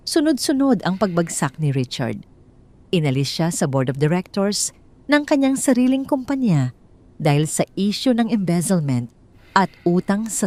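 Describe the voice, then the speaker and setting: A Filipino woman narrates a scripted drama story in audiobook style. Her voice sits low for a woman, and she reads the romance as a storyteller. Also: dark tone.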